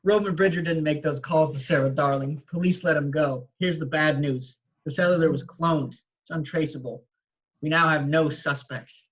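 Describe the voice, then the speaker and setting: Man reading as husky, wisecracking police detective, dull audio. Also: fairly high pitch, fairly even intonation, normal speed, a bit bright. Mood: grim. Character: gruff, wisecracking male police detective in horror film. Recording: dull home video-call audio.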